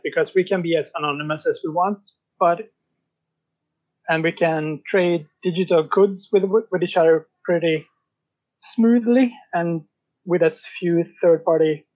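A man chatting casually as an interview guest, halting and pausing mid-sentence. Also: high-pitched, narrow, tinny audio. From Sweden. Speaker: podcast guest, writer.

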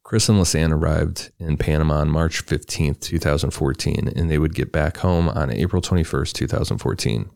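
A man co-hosting a podcast, reading a true crime story in a relaxed, loose way with casual asides. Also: extremely low pitch, moderate pace, creaky, few pauses, no room sound, studio quality. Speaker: podcast co-host.

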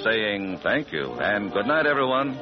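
A man's voice: lowish voice, very animated, unhurried. A man reading scripted announcements in a polished, formal 1940s radio style. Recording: muffled 1940s radio broadcast with music.

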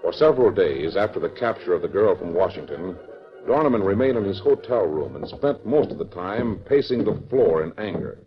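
A man's voice: low voice, big pitch swings, brisk, music in the background. A man narrating a western serial dramatically in 1940s radio announcer style. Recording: muffled vintage radio recording.

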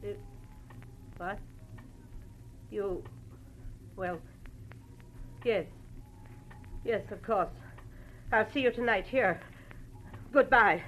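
An actress playing a wealthy, refined society widow in an old-time radio drama, formal and evasive. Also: female voice, medium pitch, extremely slow, long pauses, fairly bright tone. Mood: flustered. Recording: muffled, faintly noisy vintage radio recording.

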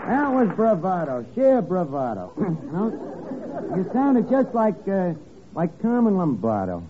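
A man's voice: high voice, huge pitch swings, slow, crowd noise, heavily acted. An actor playing a bossy, slangy, scheming tavern man in old radio comedy, wisecracking and mangling his grammar. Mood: cocky, scheming. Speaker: comic lead of a radio sitcom. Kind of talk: 1940s-50s radio comedy acting. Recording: scratchy, noisy, narrow-band old radio recording.

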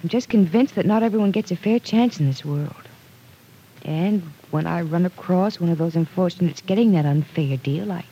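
A woman's voice: lowish voice, moderate pace, warm tone, slightly rough, highs cut off. An actress playing an earnest, stubborn, idealistic young small-town woman in an old-time radio drama. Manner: earnest, insistent.

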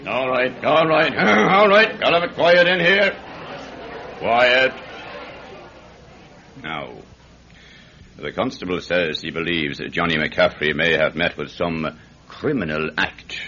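A man's voice: extremely low pitch, steady tone, unhurried, sharp tone, exaggerated voice. A man playing an impatient, authoritative Irish magistrate in a radio drama, brusque in his questioning. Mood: calling for order. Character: impatient Irish district magistrate keeping order in court. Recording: lo-fi radio recording with hiss.

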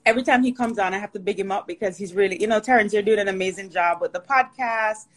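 A woman from Jamaica, chatting as a podcast guest in an upbeat way and often pausing to find her words. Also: mid-range voice. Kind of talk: casual interview chat.

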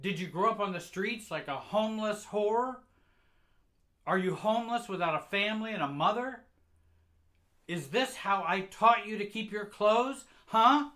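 A man voicing an enraged, abusive mother who shouts accusations and insults at a child. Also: sits high, pitched above the performer's own voice.

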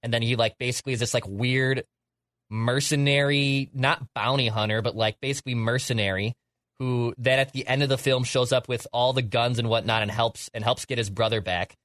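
A man's voice: mid-range voice, steady tone, a little fast, a bit bright, no room sound, studio quality. A young man co-hosting a podcast in a casual chat, talking emphatically and exaggerating for effect. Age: young adult. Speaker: podcast co-host.

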